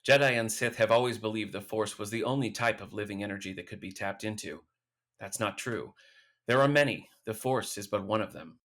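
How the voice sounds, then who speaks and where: Man playing a low-voiced, solemn alien mystic. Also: fairly bright tone, lightly acted. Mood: solemn, explaining. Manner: formal, measured phrasing without contractions. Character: grave, loyal male alien Voss mystic friend. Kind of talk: audio drama dialogue.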